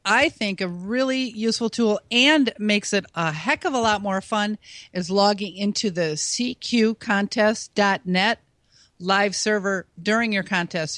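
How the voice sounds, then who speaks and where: Woman guest on a call-in show, high voice, chatty, walks you through it step by step, dry close sound. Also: a bit bright, no echo. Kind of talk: call-in radio talk.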